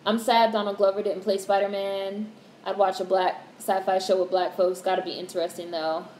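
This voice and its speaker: A young woman in her twenties chats with her livestream viewers in a flat, monotone way. She speaks candidly, swears casually and reads out comments. The sound is roomy, with a faint hiss behind it. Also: medium pitch, normal speed. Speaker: livestream host.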